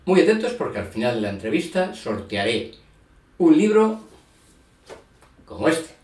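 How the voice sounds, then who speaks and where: A man in his fifties hosting a book channel, presenting and asking interview questions in a lively, upbeat way. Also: fairly high pitch, unhurried. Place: home room with plants. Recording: echoey room, faint background hum, heard from a distance.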